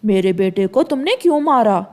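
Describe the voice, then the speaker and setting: A woman's voice: medium pitch, expressive, moderate pace, a little mellow, lightly acted. A woman voicing a protective, aggrieved father bird in a cartoon, mild but firm and earnest. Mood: indignant. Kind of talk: Hindi cartoon dialogue.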